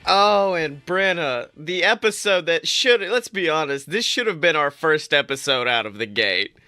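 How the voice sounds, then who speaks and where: Animated young man, bright dry voice. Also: fairly high pitch, normal speed, clean tone, dry recording, faint music behind. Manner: animated, playful, mock-outraged. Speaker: podcast co-host. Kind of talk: casual chat.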